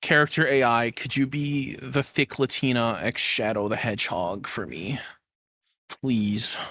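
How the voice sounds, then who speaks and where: A man's voice: medium pitch, fairly even intonation, a little slow, sharp tone, dry recording. A young man doing casual reaction commentary as a streamer, rambling as he thinks aloud. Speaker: VTuber streamer reacting to a video.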